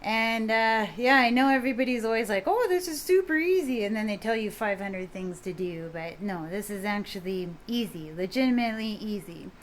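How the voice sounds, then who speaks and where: A woman with a quiet voice, casually explaining a recipe in a home kitchen video, rambling a bit with fillers. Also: mid-range voice, normal speed, few pauses, slight background noise. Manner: relaxed, rambling, with fillers and mild swearing. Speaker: home cook vlogger.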